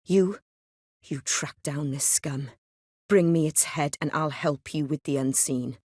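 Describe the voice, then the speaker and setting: Poised, ancient vampire noblewoman, lowish voice. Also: measured pace, a little mellow, no echo, clean studio sound. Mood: commanding, stern. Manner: poised, refined, dryly condescending. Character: ancient, aristocratic female vampire, cool, worldly and secretive. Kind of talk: video game dialogue acting.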